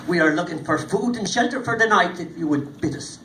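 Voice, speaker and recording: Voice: medium pitch, expressive, a little fast, fairly bright tone, far from the mic, close to an everyday voice. A storyteller voicing a proud, commanding warrior chief who declares himself boldly. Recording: echoey room with background noise.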